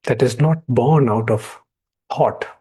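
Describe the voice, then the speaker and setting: A man speaking as a meditation teacher in a guided meditation, in short measured phrases with long pauses. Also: medium pitch, mellow, husky. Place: online video call session.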